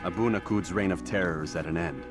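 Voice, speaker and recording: An actor playing a stern, proud young assassin in a video game, terse, grave and blunt. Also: male voice, low-pitched, fast, natural voice. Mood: grave, doubtful. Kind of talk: dramatic video game acting. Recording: loud music behind the voice.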